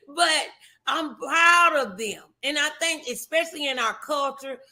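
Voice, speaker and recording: A woman's voice: high voice, takes it slowly, pushed and bright. A middle-aged American woman hosting a casual motivational talk show, speaking in an emphatic, encouraging way.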